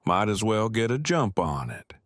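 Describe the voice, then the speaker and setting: A man acts out an awkward bookish fellow in an audio drama. His voice sits fairly low, and he talks in stiff, verbose, very formal sentences with an earnest streak. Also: big pitch swings, moderate pace. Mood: matter-of-fact, formal. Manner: stilted, verbose, formal phrasing. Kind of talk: fan audio drama acting.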